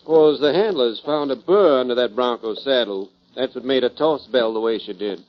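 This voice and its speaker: An actor playing a plainspoken, knowing rodeo cowhand with an American Western accent in a 1940s radio drama. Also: male voice, mid-range voice, normal speed, natural voice. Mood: wary. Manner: folksy, laconic. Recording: muffled lo-fi 1940s radio broadcast.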